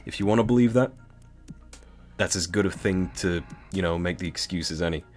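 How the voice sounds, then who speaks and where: A man's voice: sits low, a little fast, faint music behind. A British man reading a game novel aloud and breaking off into casual, swearing commentary. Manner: chatty, swearing, laughs at own jokes.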